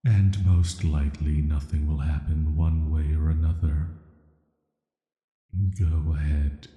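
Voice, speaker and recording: A man playing an ancient cursed villain in a sleep-aid audio roleplay, speaking in a soft, flat, soothing and intimate way, flirty yet dangerous. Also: very deep voice, slow pace, lo-fi sound. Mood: calm, admiring. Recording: echoey, long reverb.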